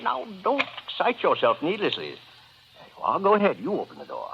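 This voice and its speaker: A man's voice: high voice, wildly swooping pitch, fairly quick, gravelly, full character voice. A man playing an elderly killer in a vintage radio horror play, fussy and mock-courteous, gloating and condescending as he repeats his phrases. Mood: slyly patient. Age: older. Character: gloating elderly male murderer, genial, condescending, greedy, creepily polite. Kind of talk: 1940s radio horror acting. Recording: muffled, hissy vintage radio recording.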